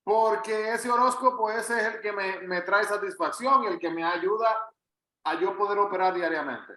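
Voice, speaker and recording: A man's voice: sits high, moderate pace, pushed and bright, roomy sound. A man with a Puerto Rican Spanish accent giving a conversational Bible teaching as a pastor, earnest and emphatic, repeating phrases for weight. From Puerto Rico. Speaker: pastor leading a Bible study.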